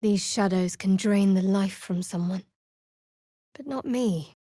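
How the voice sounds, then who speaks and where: A woman voicing a guarded, dry-witted young female cleric in a fantasy video game, measured and wry. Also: mid-range voice, dark tone, no room sound. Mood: guarded, solemn.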